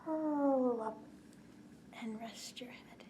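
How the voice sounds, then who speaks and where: A woman in her forties hosting a children's bedtime story time, expressive, drawing out words and often dropping to a hushed, whispery tone. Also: fairly high pitch, very slow. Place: indoors, small curtained set. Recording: clear background noise.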